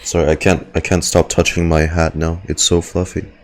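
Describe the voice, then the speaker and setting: A man's voice: low-pitched, level tone, normal speed. A man giving casual reaction commentary as a game streamer.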